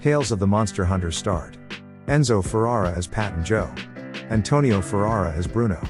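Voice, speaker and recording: A man's voice: on the low side, brisk. A man reading scripted credits as the announcer of an audio drama. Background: music.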